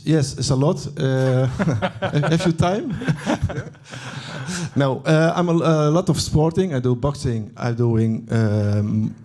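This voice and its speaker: A man answering panel questions on a conference stage in brief, halting phrases with short laughs. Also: medium pitch, warm tone. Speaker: consultant, panel guest. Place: conference stage before an audience.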